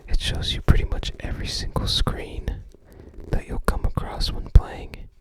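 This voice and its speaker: An ASMR host speaking entirely in a breathy whisper, gently musing aloud in unscripted commentary with trailing phrases. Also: a little slow. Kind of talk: ASMR, unscripted commentary while browsing a game guide book.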